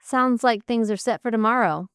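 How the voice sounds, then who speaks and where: A woman's voice: a little high, normal speed, a bit bright, clear, resonant tone, dry recording, studio quality, pitched above the performer's own voice, lightly acted. A woman reading as an eager curious traveler. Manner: eager, inquisitive.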